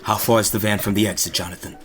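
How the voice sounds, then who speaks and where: An actor playing an armed prisoner who coolly leads a hostage escape in an audio drama. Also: male voice, on the low side, fairly even intonation, fast, lightly acted. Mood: commanding. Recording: music loud under the voice.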